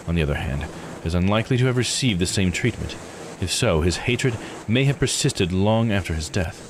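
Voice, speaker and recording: A man voicing a formal, scholarly investigator with measured, old-fashioned phrasing in a visual novel read-aloud. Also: low voice, noisy background, pitched below the performer's own voice, lightly acted.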